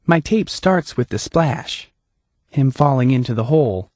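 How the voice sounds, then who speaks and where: A man's voice: medium pitch, expressive, measured pace, darkish tone, no room sound, pitched above the performer's own voice. A man voicing a wry, businesslike female agent.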